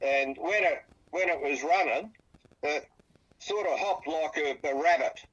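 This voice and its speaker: An Australian man from South Australia, speaking over a phone on speaker and describing what he saw in a halting way, often repeating his words. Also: fairly high pitch, a bit bright, husky, no room sound, dull audio. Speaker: interviewee, eyewitness. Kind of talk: phone interview.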